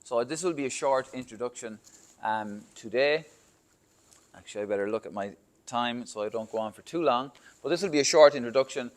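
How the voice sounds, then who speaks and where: A man's voice: medium pitch, brisk. An Irish man speaks to students and parents about applying to university. He keeps it conversational, with fillers and asides to the audience. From Ireland. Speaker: university admissions representative. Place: school hall or classroom.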